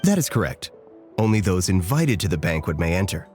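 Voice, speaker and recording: A man voicing a courteous, smooth-talking male banquet attendant in a video game cutscene, polite and measured. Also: sits low, big pitch swings, darkish tone, faint music behind. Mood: polite suave.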